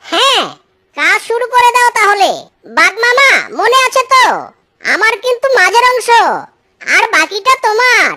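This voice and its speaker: Woman doing a squeaky, very high sly cartoon fox. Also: lively intonation, measured pace, pushed and bright, full character voice. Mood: gloating. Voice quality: childlike, squeaky. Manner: wheedling, boastful, exclamatory. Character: sly, gloating trickster fox, a young male swindler. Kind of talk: children's cartoon voice acting.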